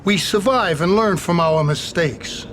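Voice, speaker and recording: High-ish, dignified mafia don. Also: male voice, a little slow, background noise. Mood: courteous, measured. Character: dignified, measured male Sicilian mafia don, courteous and wary. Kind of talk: video game cutscene acting.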